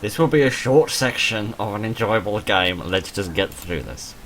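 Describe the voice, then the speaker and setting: A man's voice: fairly low pitch, animated, moderate pace, fairly bright tone, a little hiss or hum, low-quality recording. A man reading a visual novel game aloud as he plays, with dry, self-deprecating asides. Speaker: video creator playing and reading a visual novel. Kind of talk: let's play, reading game text aloud with off-script asides.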